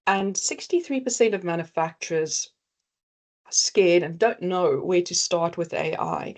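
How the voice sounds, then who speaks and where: A woman's voice: fairly low pitch, a little slow. A woman with a South African accent giving a webinar presentation as company founder, talking with many fillers and restarts.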